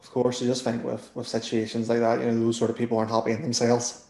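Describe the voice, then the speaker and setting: A man with a Northern Irish accent hosting a podcast and asking questions in a relaxed, conversational way. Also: mid-range voice, fast talker, roomy sound. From Northern Ireland. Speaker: podcast host. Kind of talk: casual interview.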